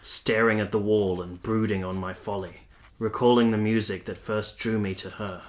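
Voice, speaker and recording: A man's voice: on the low side, steady tone, normal speed, close to an everyday voice. A man playing a lovelorn, self-pitying young army captain in a radio drama, earnest and at times hesitant. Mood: brooding. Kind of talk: radio drama acting with first-person narration.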